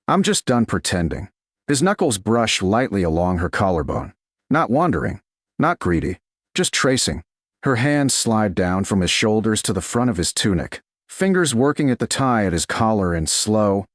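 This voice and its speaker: A man narrating a scripted romance story in a measured, deliberate, dramatic way, phrase by short phrase. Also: fairly low pitch, big pitch swings, no echo, clean studio sound. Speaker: audiobook narrator.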